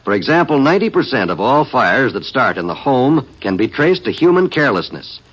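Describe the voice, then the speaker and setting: A male American announcer from 1950s radio reads in a declamatory, solemn style. His pitch moves dramatically around a middle register. The recording is old and muffled. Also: big pitch swings, brisk, breathy edge. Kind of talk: 1950s scripted public-service announcement.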